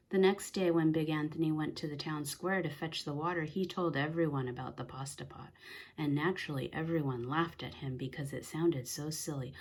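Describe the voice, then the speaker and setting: Female storybook reader: low, breathy-husky voice, deliberate and expressive children's read-aloud delivery.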